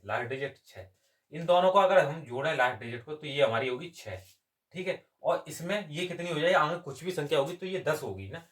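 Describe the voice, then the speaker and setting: A man's voice: fairly high pitch, fairly bright tone. A man teaching step by step as an exam maths coach, asking questions and answering them himself. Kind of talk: step-by-step lesson.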